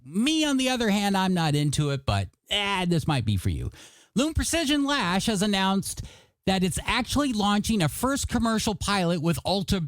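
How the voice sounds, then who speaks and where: A man's voice: high-pitched, no echo. A man working as a radio DJ on a classic hits show, with jokey, punchy announcer patter over music. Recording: radio aircheck with music underneath.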